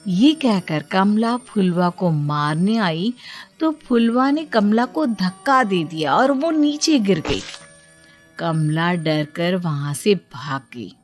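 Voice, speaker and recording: A woman's voice: medium pitch, sing-song, slow, faint music behind. A woman storyteller giving a scripted narration.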